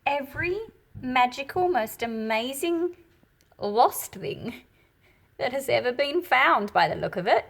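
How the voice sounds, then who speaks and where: A woman reading a storybook aloud and explaining it as she goes, in an expressive, deliberate manner with a slightly husky, breathy quality. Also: high voice. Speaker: picture book read-aloud host. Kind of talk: storybook read-aloud with teaching commentary.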